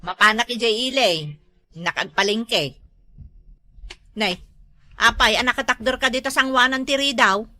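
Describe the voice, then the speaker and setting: A woman's voice: on the high side, measured pace, long pauses, sharp tone, no room sound. A woman playing an exasperated younger wife in a comedy drama, complaining and scolding with a northern Philippine accent. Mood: suspicious. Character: exasperated nagging younger wife of a lazy husband.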